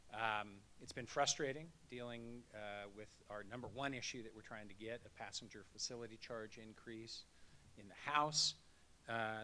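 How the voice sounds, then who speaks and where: A man's voice: mid-range voice, bright, forceful tone, slight background noise, low-quality recording. A man gives a conversational policy update at a conference as an association executive, with dry asides. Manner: conversational, wry policy briefing. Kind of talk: conference speech.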